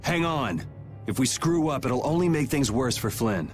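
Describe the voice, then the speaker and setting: A laid-back young swordsman played by a man in an anime game. His medium-pitched voice is casual, direct and level-headed, with faint music behind it. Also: animated, moderate pace, close to an everyday voice. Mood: cool, measured. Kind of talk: anime video game voice acting.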